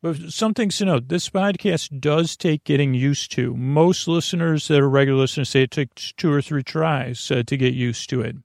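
Creaky gravelly guy doing a rambly sleep podcast monologue, keeps wandering off and restarting, dry close sound. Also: on the high side, darkish tone, no echo. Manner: meandering, halting, full of tangents and self-interruptions. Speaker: podcast host.

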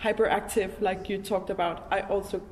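A woman's voice: medium pitch, fairly even intonation, fairly quick, a little mellow. A woman hosting a casual, reflective interview, thinking aloud in an informal, enthusiastic way. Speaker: interviewer, podcast host. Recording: noisy, reverberant hall recording.

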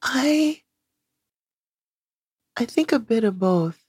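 A woman's voice: on the high side, lively intonation, very slow, darkish tone, breathy edge, no echo, clean studio sound. A woman in her sixties, a guest in an interview, talking reflectively and searching for words with many "you know"s. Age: 60s. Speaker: guest, singer.

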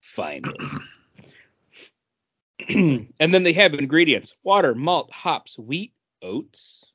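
A man's voice: fairly high pitch, sing-song, slow pace, fairly bright tone, husky, dry recording, highs cut off. A man co-hosting a podcast, explaining things casually and sometimes reading aloud. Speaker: podcast co-host.

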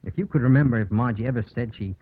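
An actor playing a principled, steady small-town man with an earnest, firm manner in a vintage radio drama. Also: mid-range voice, very fast, a little hiss or hum, close to an everyday voice. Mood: concerned. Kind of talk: 1940s-50s radio acting. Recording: muffled old radio broadcast.

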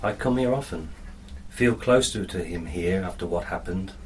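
A woman's voice: rumbling low, moderate pace, a little hiss or hum, voice pushed down. A woman voicing a forlorn, regretful elderly man in a story, speaking in a wistful, confiding, old-fashioned way.

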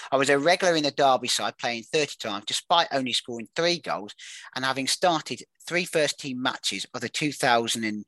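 A man's voice: medium pitch, fairly bright tone, no echo, poor audio. Man reading quiz clues, dry. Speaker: quiz host. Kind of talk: reading footballer career clues aloud.

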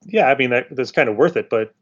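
A man's voice: medium pitch, animated, fast. Slightly muffled American man hosting casually. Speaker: podcast host. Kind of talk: casual chat.

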